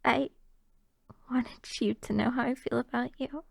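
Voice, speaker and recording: A young woman playing a shy, affectionate girlfriend in an intimate ASMR roleplay, soft and hesitant, often slipping into whispers close to the microphone. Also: on the high side, fairly even intonation, unhurried, creaky, breathy edge, dry recording, full character voice.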